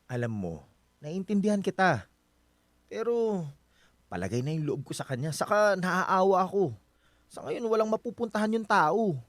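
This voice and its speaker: A man's voice: high voice, very animated, moderate pace, pauses often, no room sound. A man acting as a trusting, stubborn husband in a Filipino radio drama, talking in a casual, persuasive way. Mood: defensive.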